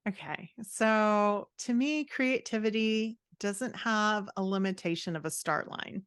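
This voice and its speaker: A woman's voice: medium pitch, measured pace, a bit bright, clean tone, no room sound, studio quality. A woman speaking as a guest writing coach, explaining her ideas in a conversational, encouraging and reflective way. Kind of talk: conversational advice in a podcast interview.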